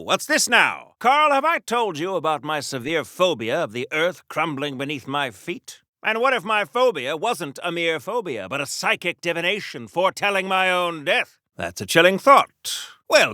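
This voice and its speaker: An actor voicing a brash, rambling old-time American soldier-hero in a video game, hammy and chatty, with old-fashioned slang in a 1940s radio-serial style. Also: male voice, a little high, bright, forceful tone, no echo, studio quality.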